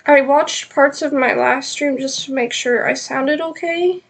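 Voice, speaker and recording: A woman's voice: sits high, measured pace. A woman with a stuffed-up nose chatting casually and rambling on a live knitting stream, with many ums.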